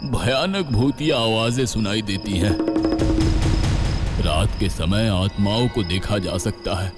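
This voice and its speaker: A man voicing a worried, grave landowner troubled by ghosts in a Hindi horror audio story, speaking with slow foreboding. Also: on the low side, loud music behind the voice.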